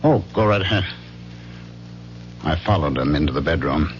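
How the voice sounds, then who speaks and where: Guarded husband and narrator, radio drama: extremely low voice, terse, deliberate, compliant, on a muffled recording. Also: male voice, expressive. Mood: uneasy, guarded. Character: guarded, compliant husband narrating his own suspicious story. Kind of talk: radio drama acting with first-person narration. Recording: dull, noisy old radio broadcast with hum.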